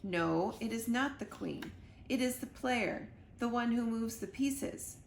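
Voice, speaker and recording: Lady doing a smug calm kgb spy guy for a kids book, slow condescending talk, roomy echo, noisy. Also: a little high, full character voice. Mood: scheming, smug. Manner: measured, condescending. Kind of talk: children's storybook read-aloud. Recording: roomy echo with clear background noise.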